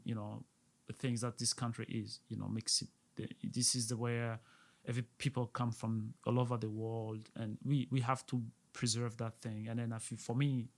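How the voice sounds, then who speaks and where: An earnest man from Burundi in middle age talks as a podcast guest in a mid-range voice. He presses his points by repeating words as he speaks. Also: medium pitch. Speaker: restaurant owner, guest. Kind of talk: casual interview talk.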